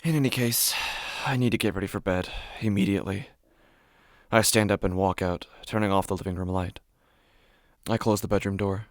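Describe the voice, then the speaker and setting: A man playing a frightened, sleepless narrator of his own waking nightmare in a horror podcast, halting and intense, repeating his phrases. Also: deep voice, steady tone, no room sound, studio quality. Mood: weary, tense. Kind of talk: horror fiction podcast, dramatic first-person monologue.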